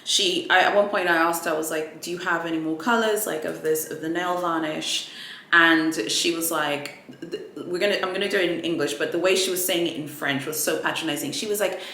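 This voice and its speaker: A woman whose first language is Swahili talks through a luxury unboxing in a bright, clear voice. Her delivery is chatty and rambling, full of restarts and little fillers. The room around her rings with a noticeable echo. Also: lowish voice, steady tone, brisk, a little hiss or hum. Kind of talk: casual chatty unboxing vlog. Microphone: ring light setup, camera mic.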